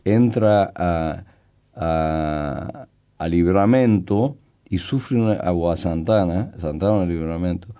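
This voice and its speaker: Slow, mellow older man. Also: low-pitched, smooth. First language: Brazilian Portuguese. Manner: slow, hesitant, halting, thoughtful. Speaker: poet and guest. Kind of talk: reflective interview talk.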